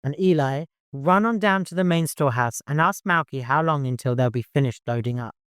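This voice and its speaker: A man voicing a kindly father and caravan leader in an audiobook, courteous, gently humorous and easily flustered. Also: a little high, fairly quick, smooth, dry recording, clean studio sound, lightly acted. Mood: instructing.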